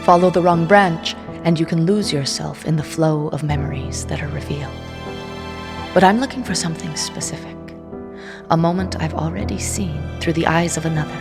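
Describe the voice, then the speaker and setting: An actress plays a young seer narrating in a low, gravelly register, her words intense and often dropping to a hush. She tells the tale in the first person for an audio drama, with a musical score playing beneath her. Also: normal speed, over music. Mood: tense. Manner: intense, hushed inner narration. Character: young woman seer reading objects' blood history. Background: orchestral score under the voice.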